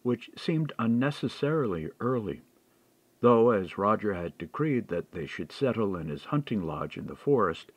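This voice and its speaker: Expressive male storyteller, lively intonation. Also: lowish voice, moderate pace, slightly rough, dry recording. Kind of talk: audiobook narration of a historical mystery.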